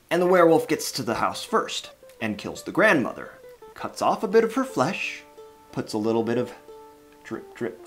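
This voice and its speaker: A storyteller retelling dark fairytales in a dramatic yet casual way, with wry conversational asides. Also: mid-range voice.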